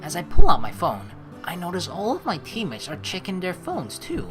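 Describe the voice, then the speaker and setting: A woman's voice: on the low side, wildly swooping pitch, moderate pace, music in the background. A woman let's play streamer reading game text aloud and adding chatty commentary.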